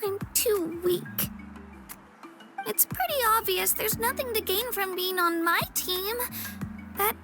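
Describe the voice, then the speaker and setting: Modest young warrior girl, very high youthful voice, earnest, slightly hesitant, video game acting, prominent background music. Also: slow pace, pauses often, full character voice. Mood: resigned, humble. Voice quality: youthful, girlish. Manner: earnest, self-effacing, hesitant.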